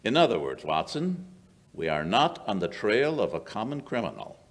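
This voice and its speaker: An older man acts a brisk detective of old-time radio mystery. His voice sits somewhat low and moves with lively intonation. He lectures precisely and knowingly, in a declamatory style. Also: full character voice. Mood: grave. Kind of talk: old-time radio drama acting, scripted. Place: small indoor stage. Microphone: stand microphone.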